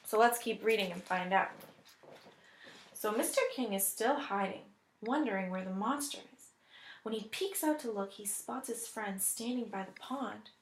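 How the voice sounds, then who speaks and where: A woman reading a picture book aloud as a teacher would, deliberate and expressive, pausing to think aloud. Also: medium pitch, slight background noise. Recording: echoey room.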